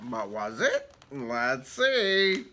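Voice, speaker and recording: A man in his fifties reads a children's story in a theatrical, lively way. His pitch swings widely and his tone is bright, at an unhurried pace. He breaks off for casual asides and questions to young listeners. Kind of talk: animated picture-book read-aloud with casual asides. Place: indoor room with book cut-outs.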